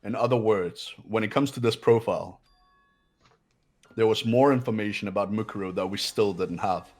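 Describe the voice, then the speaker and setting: A man voicing a haughty, condescending young man in a game playthrough, speaking pompously and deliberately. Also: on the low side, a little mellow, lightly acted. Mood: smug, analytical. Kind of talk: voiced video game playthrough.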